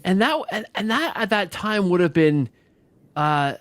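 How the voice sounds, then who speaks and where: A man's voice: high-pitched, sing-song, unhurried, fairly bright tone, dry recording. A man hosting a casual interview, rambling tentatively and often checking for agreement with tag questions. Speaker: podcast host and interviewer.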